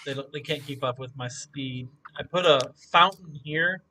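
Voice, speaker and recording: A young man chatting casually and joking while he builds a map on stream, thinking out loud as he works. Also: on the high side, normal speed, a little hiss or hum. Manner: joking, laid-back, thinking aloud. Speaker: mapmaker streaming his work. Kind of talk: casual chat while working.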